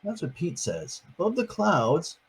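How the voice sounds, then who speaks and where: A man's voice: on the high side, huge pitch swings, measured pace, slightly rough. An older man reading a picture book aloud to his grandchildren, adding chatty, playful asides between pages.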